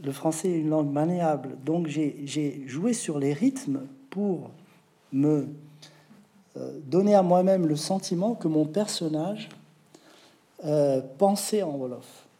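A man who writes novels, answering interview questions in a reflective, hesitant way with many pauses. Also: fairly high pitch, warm tone.

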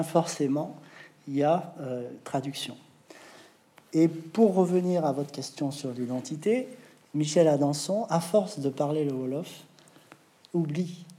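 Novelist guy talking slow and thoughtful, lots of euh, bit high, warm tone. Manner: thoughtful, hesitant, many filler pauses. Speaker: novelist being interviewed. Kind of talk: reflective interview answers.

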